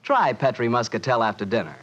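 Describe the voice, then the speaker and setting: A man's voice: medium pitch, expressive, fairly quick, a bit bright, dull audio. A man with an American accent reading a folksy, persuasive 1940s radio sponsor message. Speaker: radio announcer and sponsor pitchman. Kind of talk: 1940s radio advertisement read.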